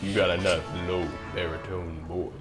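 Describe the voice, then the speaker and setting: A young man joking and chatting while playing a video game, relaxed and quick to laugh. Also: low-pitched. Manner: laid-back, joking, laughs often. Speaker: let's play co-commentator. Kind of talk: casual gaming chat. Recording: game music behind.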